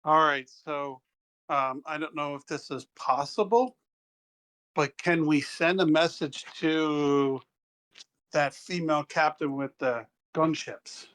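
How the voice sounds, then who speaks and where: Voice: medium pitch, steady tone, slow pace, fairly bright tone. A player in a tabletop game, chatting casually and thinking aloud with hesitations.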